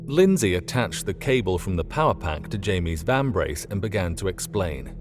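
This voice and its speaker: A man reading a scripted story as an audiobook narrator, in an expressive storytelling manner. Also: deep voice, normal speed, over music.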